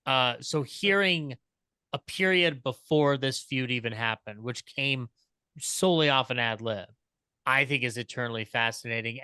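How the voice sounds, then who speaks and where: A man's voice: medium pitch, bright, forceful tone, clear, resonant tone, no echo. A man giving casual enthusiast commentary as a panelist, earnest, detailed and analytical. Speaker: panelist and podcaster. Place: online video call panel.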